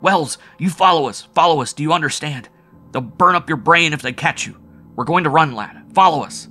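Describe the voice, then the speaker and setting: A man voicing an urgent companion who shouts orders to flee. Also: on the high side, expressive, a little fast, faint music behind, pitched above the performer's own voice. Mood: urgent shouting.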